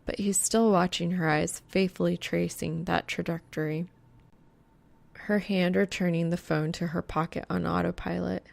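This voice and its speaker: A woman narrating a romantic fan fiction audiobook in a measured, hushed, creaky voice. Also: on the low side, fairly even intonation. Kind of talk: scripted narration of a romantic story.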